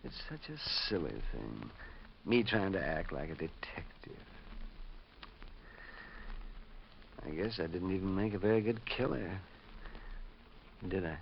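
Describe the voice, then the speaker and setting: Old-time radio: meek, dithering little old fellow, muffled noisy recording, very animated pitch. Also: male voice, moderate pace, creaky. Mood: rueful. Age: older. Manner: fretful, hesitant, rueful. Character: funny little old man, meek, dithering bargain-hunter turned killer. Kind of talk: 1950s radio detective drama acting.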